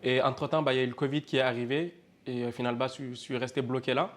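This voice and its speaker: A man's voice: medium pitch, little pitch movement, very fast. A man in his twenties from Senegal talks casually about starting a food business. He has a mid-range voice, and his speech is loose and conversational, full of little fillers. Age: 20s. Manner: casual, chatty, lots of fillers. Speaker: interviewee, cook and business owner. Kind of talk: documentary interview.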